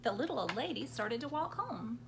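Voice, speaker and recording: A woman's voice: a little high, very animated, brisk, vocal fry, background noise. A woman reading a story aloud to young children expressively, prompting them to join in as she goes. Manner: interactive, prompting children with questions and sound words.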